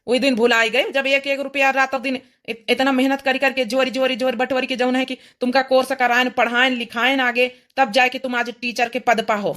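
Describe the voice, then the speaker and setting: A high-pitched young village husband played by a woman in a comic cartoon. He talks with an Awadhi accent in an emphatic, wounded, repetitive way. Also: flat delivery, a little fast, few pauses, a bit bright. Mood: hurt reproach.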